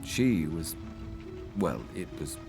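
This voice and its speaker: Lively, wry wartime sweetheart. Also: male voice, a bass voice, takes it slowly, gravelly, music in the background, heavily acted. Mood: shaken. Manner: dry understatement, self-deprecating. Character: wry, brave young wartime man, self-deprecating sweetheart. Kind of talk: radio drama acting.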